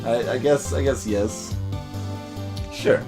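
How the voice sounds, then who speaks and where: A woman's voice: a bass voice, slow, over music. A woman giving casual let's play commentary while reading a video game aloud. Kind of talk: casual playthrough commentary with voiced game dialogue.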